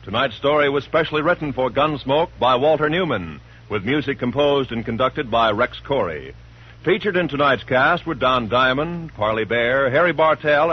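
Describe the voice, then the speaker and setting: A man's voice: mid-range voice, breathy edge. A man reading the closing credits as a formal radio announcer. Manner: formal, rich announcer delivery. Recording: dull lo-fi 1950s radio broadcast with music.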